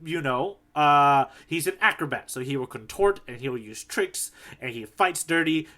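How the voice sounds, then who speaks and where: Bright-voiced man chatting as podcast co-host. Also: on the high side, expressive, normal speed. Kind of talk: casual chat, manga discussion.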